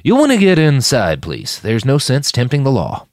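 A man's voice: medium pitch, huge pitch swings, moderate pace, darkish tone, dry recording, clean studio sound, pitched above the performer's own voice. A man voicing a pious, bookish younger smuggler in an audiobook, haggard and formal like a preacher. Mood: weary welcome.

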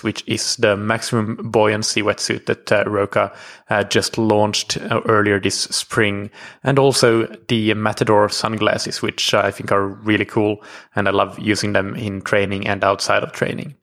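A male podcast host with a fairly low-pitched voice. He talks conversationally, like someone introducing a guest and putting questions to them in an interview. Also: gravelly, a bit bright.

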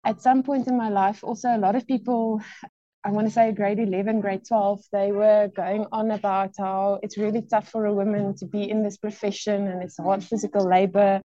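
A woman in her thirties from South Africa, with a South African accent, telling stories in a relaxed, casual interview. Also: medium pitch, normal speed, no echo, lo-fi sound. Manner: relaxed storytelling with occasional laughs. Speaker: veterinary pathologist guest.

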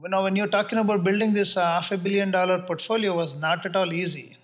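A man in his forties speaks with an Indian accent in a notably high voice. He answers questions earnestly and at a measured pace. He pauses often and fills the gaps with soft fillers. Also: fairly even intonation. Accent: Indian English. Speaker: real estate investor guest. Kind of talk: casual interview answers.

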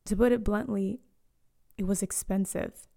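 A woman in her late twenties reading a reflective personal story in a slow, lyrical way, sometimes dropping to a hush. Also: mid-range voice, fairly even intonation, creaky. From born in Eswatini to Zambian parents, raised in South Africa, Australia and Canada. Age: late 20s. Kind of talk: scripted personal narration.

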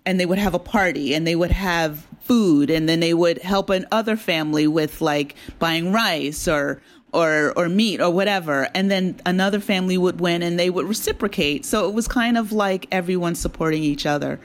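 A woman's voice: fairly low pitch, expressive, normal speed. A woman speaking as an interview guest, conversational, earnest and explanatory. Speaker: interview guest, audio drama writer.

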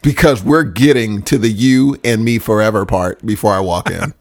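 A man's voice: fairly low pitch, moderate pace. A man co-hosting a podcast, chatting casually in slangy language, telling joking stories and acting out quotes. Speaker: podcast co-host. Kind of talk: casual chat, storytelling.

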